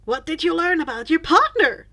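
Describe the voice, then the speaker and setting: An exuberant male hero teacher as a woman reader plays him booms out in a high, theatrical voice. The delivery swings dramatically up and down, as in a fan fiction audiobook. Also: very animated, normal speed, bright, forceful tone, full character voice. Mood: loud, cheerful.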